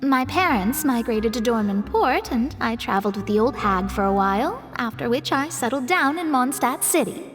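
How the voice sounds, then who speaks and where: A woman's voice: on the high side, lively intonation, moderate pace, hardly any pauses, fairly bright tone, long reverb tail, faint music behind. A woman voicing a proud, studious young astrologer in a video game, explaining things in a precise, self-important manner. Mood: explanatory. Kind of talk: animated game dialogue acting.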